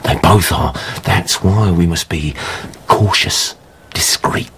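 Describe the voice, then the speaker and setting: Radio play: wary, sly barfly storyteller, low-pitched, wry and conspiratorial. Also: male voice, takes it slowly, noisy background. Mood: sardonic, cautious. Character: seedy gossiping male tavern drinker, sly and wary. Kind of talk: radio drama acting.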